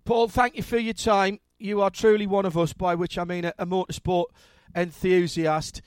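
High-pitched, upbeat male host. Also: level tone, moderate pace, clear, resonant tone, dry recording. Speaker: radio show host. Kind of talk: hosting an interview.

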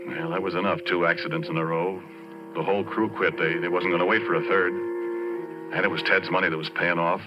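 Brief: male actor as haunted oil-field roughneck, very low voice, folksy halting narrator for vintage radio horror, muffled recording with music. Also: flat delivery, lightly acted. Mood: grim recounting. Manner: folksy, halting, colloquial storytelling. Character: haunted plain-spoken oil rig roughneck recounting horror. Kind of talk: 1940s radio drama acting, first-person narration.

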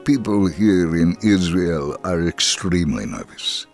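A man's voice: low-pitched, unhurried, over loud music. An actor playing an earnest Israeli man in a dramatic reading of his life writings.

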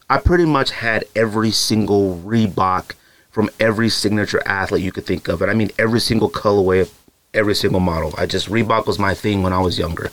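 A man's voice: lowish voice, fairly bright tone. A young man hosting a podcast, talking casually and rambling, with many fillers and asides. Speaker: podcast host. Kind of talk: casual solo podcast talk.

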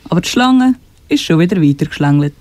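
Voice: medium pitch, expressive, fast, darkish tone, dry recording. A young narrator with a Swiss German accent reading a scripted children's story. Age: child or teenager.

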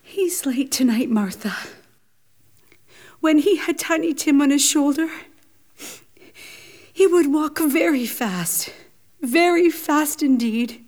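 A tearful, very slow-spoken grieving mother. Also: high voice, animated, a little mellow. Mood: wistful sorrow. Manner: tearful, faltering. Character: grieving, tender mother of a poor family. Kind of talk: radio drama acting.

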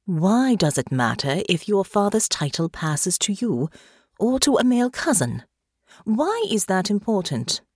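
A woman voicing a noble countess who speaks calmly and quietly but never yields. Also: medium pitch, dry recording, clean studio sound, voice pushed up. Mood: cool, probing. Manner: calm, quiet, measured, probing. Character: cool, unyielding noble countess.

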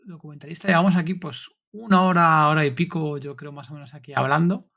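Presentador español de voz rasposa. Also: male voice, on the high side, fairly even intonation, fast, bright, forceful tone, muffled recording. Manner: friendly, steers the conversation. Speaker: podcast co-host. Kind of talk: casual interview chat.

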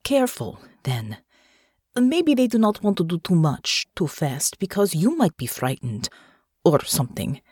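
A woman voicing a calm, gentle lady's maid who speaks softly and carefully. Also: on the low side, measured pace, breathy edge, dry recording, clean studio sound, heavily acted. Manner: soft, gentle, plain careful phrasing.

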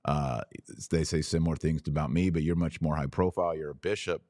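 A man's voice: rumbling low, steady tone, brisk, a little mellow, dry recording, studio quality. A man speaking as a podcast host in a conversational interview.

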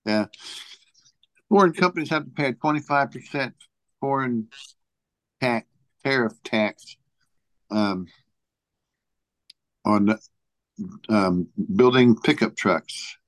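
A man chats casually as he presents a list, taking his time over it. His delivery is slow and halting, and the sound is very dry with no room around it. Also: mid-range voice, no echo, low-quality recording. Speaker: podcast co-host presenting a top ten list. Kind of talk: casual chat, reading out a list.

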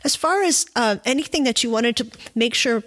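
A woman's voice: on the high side, expressive, moderate pace, a bit bright. A woman hosting a podcast in a conversational style. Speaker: podcast host.

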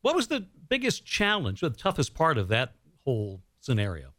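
A man's voice: mid-range voice, wildly swooping pitch, normal speed, a bit bright, dry recording, studio quality. A man hosting an interview, asking conversational questions and offering his own comments. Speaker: radio interviewer, host.

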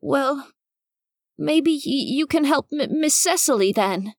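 A woman playing a timid little bilby creature who trips over his words. His voice is high and slow, recorded very dry and close. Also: animated, dry recording, heavily acted. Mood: nervous. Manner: timid, stammering. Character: nervous, stammering little male bilby.

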